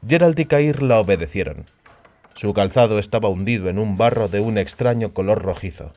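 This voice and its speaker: A male audiobook narrator with a lowish voice. He reads literary fantasy prose as a scripted narration. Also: lively intonation, fairly quick, a little mellow.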